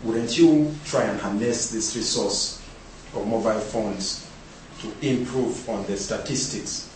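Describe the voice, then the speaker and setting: A man's voice: lowish voice, a little hiss or hum. A man from Uganda giving a conference presentation, building his points with repeated rhetorical questions. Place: large conference hall. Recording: echoey hall, speaker far from mic.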